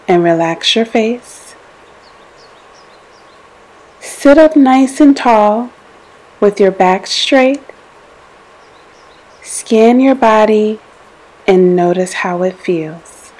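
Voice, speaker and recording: A woman leading a guided meditation in a gentle, soothing way, giving deliberate instructions with pauses between phrases. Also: mid-range voice, rough recording. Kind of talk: guided meditation, scripted narration.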